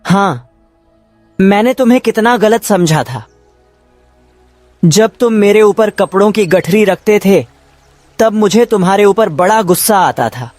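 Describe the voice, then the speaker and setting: A man voicing a grateful talking donkey, a cursed king, in an animated moral story. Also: sits high, measured pace, pauses often, no echo. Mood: grateful, regretful. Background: music.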